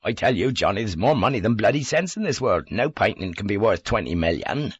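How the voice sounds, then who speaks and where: A man's voice: fairly low pitch, big pitch swings, a little fast, breathy edge, no echo, muffled recording. A man reading an audiobook as a blunt, sweary, hearty Devon man who is dismissive of everything, with a Devon accent. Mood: scornful disgust. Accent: Devon English.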